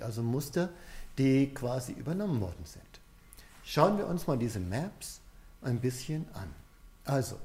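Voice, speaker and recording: Mellow-voiced man giving a coaching talk. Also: medium pitch. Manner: conversational, addresses the listener directly with rhetorical questions. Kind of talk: explanatory coaching talk, informal and direct address.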